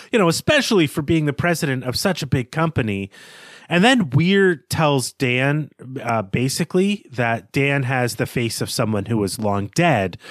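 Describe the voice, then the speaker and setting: Animated male podcast co-host, dry close sound. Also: mid-range voice, no room sound. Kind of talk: literary discussion, conversational analysis.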